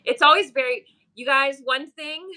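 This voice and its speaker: A woman's voice: sits high, moderate pace, bright, forceful tone. A woman hosting a live stream as a pattern making teacher, chatting casually, reading viewer comments and using frequent fillers. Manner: friendly, reads chat aloud, many fillers.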